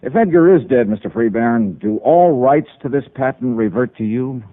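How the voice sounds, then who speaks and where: Investigator, male actor: wry, offhand, probing, lively pitch swings, dry close sound, vintage narrow-band radio. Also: normal speed, no room sound, natural voice. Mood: needling, casual. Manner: needling, offhand questioning. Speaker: lead actor in a radio detective serial. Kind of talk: old-time radio detective acting. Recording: tinny, band-limited old radio recording.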